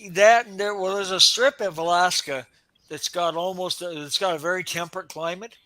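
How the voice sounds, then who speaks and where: A man chatting casually as a guest on a livestream, easygoing and quick to agree. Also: high voice, pushed and bright, no room sound. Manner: easygoing, agreeable, laughs along. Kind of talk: casual group chat on a livestream.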